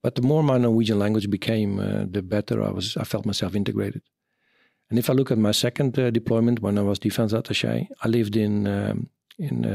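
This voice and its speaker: A Dutch man in his fifties talks in English with a clear Dutch accent. His voice is low, gravelly and husky, and he stops often to search for the right word. The sound is close and dry. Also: a little mellow, no room sound. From Netherlands. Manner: halting, searching for words, many fillers. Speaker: retired army officer, interviewee. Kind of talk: reflective interview answers.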